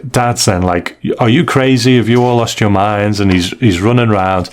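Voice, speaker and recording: Low-voiced man, casual podcast host. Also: normal speed. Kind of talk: casual comic review discussion.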